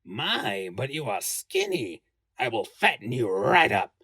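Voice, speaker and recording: Man as sly old storybook witch woman, wildly swooping pitch, slow drawl, creaky rasp, cackling manner. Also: big pitch swings, pushed and bright, no echo, clean studio sound, exaggerated voice. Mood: coaxing, sly. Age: older. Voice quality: creaky, raspy falsetto. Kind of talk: storybook character voice.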